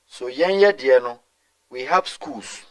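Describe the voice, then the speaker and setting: A man's voice: medium pitch, lively intonation, takes it slowly, low-quality recording. A middle-aged Ghanaian man answering in an interview, talking emphatically and mixing in Twi, with stumbles and repeated words. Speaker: guest.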